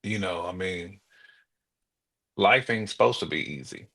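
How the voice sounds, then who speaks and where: A man with a deep voice full of gravelly fry and a bright, forceful edge chats as a co-host. His phrasing is casual and colloquial. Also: measured pace. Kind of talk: casual conversational chat.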